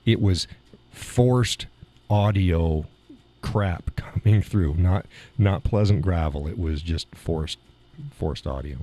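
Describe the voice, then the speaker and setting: A man hosting a live stream in casual, rambling chat, with a slight gravel in his voice and chuckles between remarks, recorded in a home studio through a mixer board. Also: sits low, takes it slowly, warm tone. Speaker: live show host. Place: home studio in a weight room. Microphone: studio mic through mixer board.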